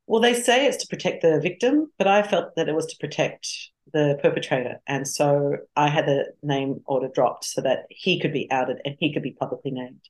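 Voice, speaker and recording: A woman's voice: sits low, normal speed, hardly any pauses, poor audio. A middle-aged woman with an Australian accent, speaking frankly and reflectively as an interview guest. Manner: frank and reflective, laughs easily. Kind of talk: candid interview conversation.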